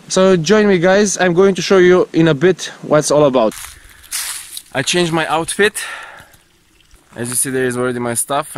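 Young man, twenties, slightly high voice, casual playful vlogger, hesitant phrasing, faint wind noise on outdoor camera audio. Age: 20s. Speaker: vlogger, paraglider pilot. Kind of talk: casual outdoor vlog, talking to viewers. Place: outdoors in hills and woods, and in flight.

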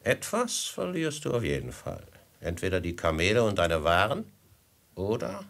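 An actor playing a falsely courteous desert robber chief with a husky voice, unctuous and flowery, in a 1950s German radio drama. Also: male voice, low-pitched, huge pitch swings, moderate pace, fairly bright tone, full character voice. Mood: veiled menace. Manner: unctuous, flowery, mock-friendly, theatrical.

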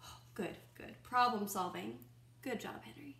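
A woman in her twenties reads a picture book aloud like a teacher to young children, expressively, pausing to comment and ask questions. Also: a little high, creaky, fairly bright tone. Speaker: first-grade teacher reading aloud. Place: small room at home, indoors by a window. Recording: roomy, echoing home recording.

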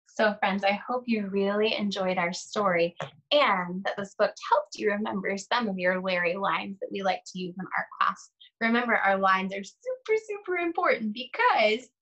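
A woman's voice: mid-range voice, animated, normal speed, a bit bright, highs cut off. A young adult woman, an art teacher, leading a read-aloud lesson for children with simple questions and prompts.

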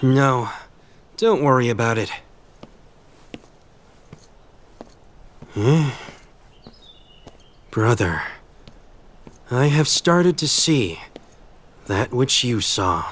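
An actor playing an easygoing, reluctant young lord in a video game dub, with a casual, hesitant delivery. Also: male voice, mid-range voice, animated, slow, long pauses, fairly bright tone, a little hiss or hum. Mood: weary, reflective.

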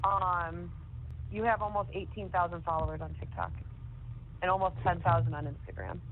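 A woman's voice: on the low side, normal speed. Woman on a noisy phone line. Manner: frequent swearing. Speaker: caller on a jail phone call. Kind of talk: casual chat. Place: phone call. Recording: noisy narrowband telephone line.

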